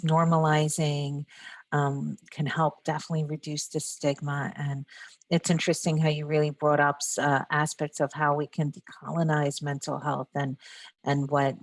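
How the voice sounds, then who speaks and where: A woman with a low-pitched voice hosts a panel discussion. She speaks haltingly, searching for words as she goes. The sound is dry, with very little room in it. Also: no echo. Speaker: podcast host.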